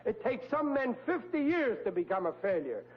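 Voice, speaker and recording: A man's voice: extremely high pitch, very animated, moderate pace. An actor playing a blustering, exasperated middle-aged working-class American father, stammering and flustered, in a 1940s radio comedy. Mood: dejected, blustering. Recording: muffled old-time radio recording with hum.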